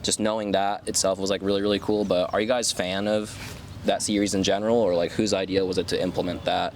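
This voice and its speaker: A man's voice: low-pitched, little pitch movement, quick pace. A man interviewing casually, rambling and enthusiastic, with lots of slang and filler words. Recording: faint vehicle noise behind.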